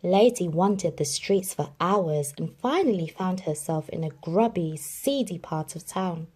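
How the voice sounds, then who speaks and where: A woman reading a children's picture book aloud, telling the story expressively with dramatic pauses. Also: lowish voice, a little mellow, husky. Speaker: narrator.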